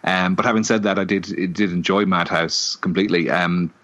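A man co-hosting a podcast, casually reviewing a film with lots of ums and pauses. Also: deep voice. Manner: relaxed, many ums and hesitations. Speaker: podcast co-host. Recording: dull lo-fi audio.

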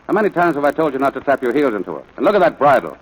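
A stern, exasperated rancher father, rattles along quickly in a medium-pitched voice. His manner is brisk, scolding and sarcastic, in the style of a 1940s radio play. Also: sing-song, highs cut off. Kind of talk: 1940s radio drama acting.

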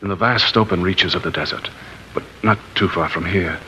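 A man's voice: deep voice, brisk, sharp tone, slight background noise. An actor playing a ruthless, scheming male cult leader in a 1950s radio drama, grandiose when he preaches and threatening when crossed. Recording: dull lo-fi 1950s radio broadcast.

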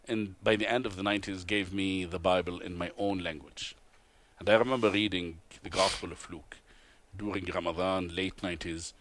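A man from Tunisia with an Arabic accent, a radio guest telling his life story in an earnest, halting way with many pauses and restarts. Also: low voice, fairly bright tone. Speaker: guest, TV host and author. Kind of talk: interview testimony. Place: convention broadcast booth.

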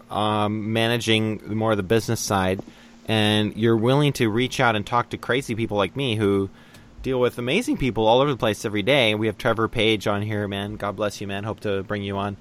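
A man's voice: fairly low pitch, fairly bright tone. A man hosting a casual online radio interview, chatty and enthusiastic, with plenty of fillers. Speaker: podcast host. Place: lives in Taiwan.

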